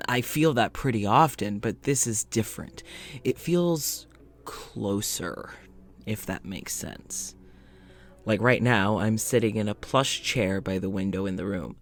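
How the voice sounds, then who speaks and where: A man playing a polite, uneasy scientist in an audio drama, speaking in a reflective, hesitant, conversational way, as if into a journal. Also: fairly low pitch. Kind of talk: audio drama monologue and dialogue.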